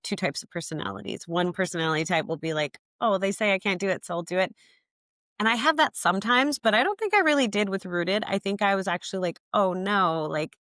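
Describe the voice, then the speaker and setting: A woman's voice: mid-range voice, fairly bright tone, dry recording, studio quality. A young woman founder answering interview questions thoughtfully, often saying "like" and acting out her own past thoughts. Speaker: app founder, guest.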